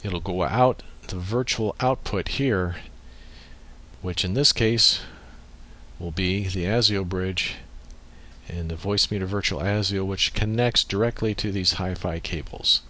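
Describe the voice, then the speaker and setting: A man giving a step-by-step software tutorial as screencast narration, speaking into a USB microphone. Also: sits low, unhurried.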